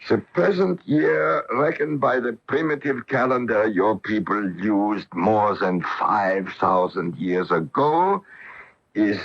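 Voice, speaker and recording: An actor playing an ancient, courteous man of a far-future world in a radio drama, speaking in measured, formal phrases. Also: fairly low pitch, expressive, heavily acted. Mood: calm, knowing. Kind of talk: 1980s radio drama acting. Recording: dull lo-fi AM radio broadcast.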